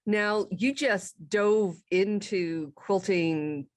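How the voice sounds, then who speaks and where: A woman's voice: medium pitch, extremely slow, no room sound, studio quality. A woman hosting an interview show, introducing her guest and asking questions.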